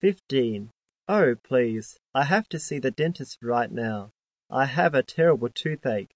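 A man reading scripted dialogues for an English listening test in a plain, measured way. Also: medium pitch, animated, no echo. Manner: plain, measured textbook delivery.

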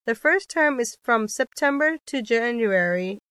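A woman reads scripted exam dialogues in a clear, careful textbook manner. Her voice sits a little high, and the recording is dry and close-miked. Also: animated, measured pace, a bit bright, no echo. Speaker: dialogue reader on a listening test.

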